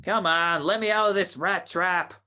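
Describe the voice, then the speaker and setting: A man acting a nervous, slow-witted gangster's henchman in a radio play, hesitant and stammering, with slangy speech. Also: high-pitched, steady tone, sharp tone. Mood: impatient, demanding.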